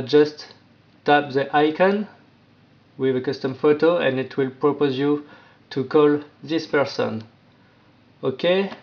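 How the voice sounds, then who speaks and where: Man, tutorial presenter, unhurried and halting delivery, non-native phrasing, slightly dull lo-fi recording. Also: mid-range voice. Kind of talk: informal step-by-step app tutorial narration. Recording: dull, lo-fi sound.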